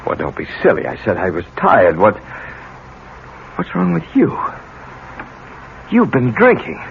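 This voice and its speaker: An actor playing a weary, testy middle-aged father in a radio drama, halting and guarded. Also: mid-range voice, wildly swooping pitch, gravelly, full character voice. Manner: halting, guarded, repeats himself. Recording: dull, hissy vintage radio recording.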